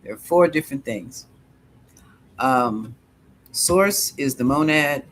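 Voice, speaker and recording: A man's voice: medium pitch, animated, takes it slowly, fairly bright tone. A middle-aged man hosting a psychic livestream, answering viewer questions in a rambling, musing way and chuckling often.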